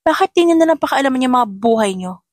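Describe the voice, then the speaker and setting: A woman's voice: high-pitched, lively intonation, quick pace, darkish tone, dry recording, studio quality. Woman playing a feisty, cheeky young cleaning woman. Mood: defiant, irritated. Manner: defiant, cheeky, polite to elders. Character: feisty young female janitor who fights back at bullies.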